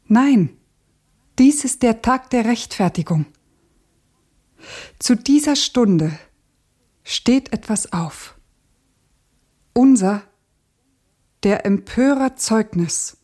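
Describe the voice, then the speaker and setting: Husky, grieving young woman revolutionary. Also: mid-range voice. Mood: exalted, solemn. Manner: intense, solemn, probing, sometimes hushed. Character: grieving, fervent young female revolutionary, insistent and resolute. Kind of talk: dramatic radio play acting.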